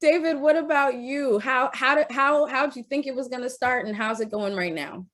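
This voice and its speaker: A woman's voice: high voice, normal speed, a bit bright. A woman moderating a panel in a casual, conversational style.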